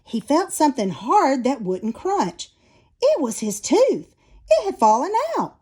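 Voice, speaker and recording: A woman reading a children's storybook aloud as an expressive narrator. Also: high voice, measured pace. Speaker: storybook reader.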